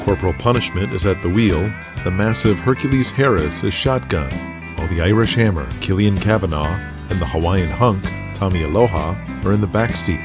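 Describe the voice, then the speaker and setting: A man giving steady, matter-of-fact scripted narration for an audio drama. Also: low voice, fairly quick, over music.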